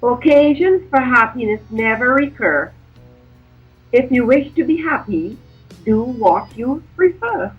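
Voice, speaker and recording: Older woman, husky. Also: a little high, animated, a little slow, muffled recording, faint music behind. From Guyana. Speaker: guest. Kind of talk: remote interview, reminiscing.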